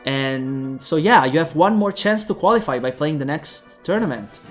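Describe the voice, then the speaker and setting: Young Italian-accented man casting esports in English, slightly high voice, rambling with fillers, faint music behind. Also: lively intonation, normal speed. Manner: rambling, with frequent fillers and restarts. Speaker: esports caster. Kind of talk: esports commentary and sign-off.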